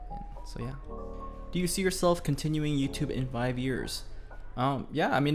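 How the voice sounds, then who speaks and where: Young guy from korea, korean first language, jokey q&a chatter, mid-pitched, music going under it. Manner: playful, self-deprecating, reads questions aloud. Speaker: YouTuber. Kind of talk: casual Q&A vlog.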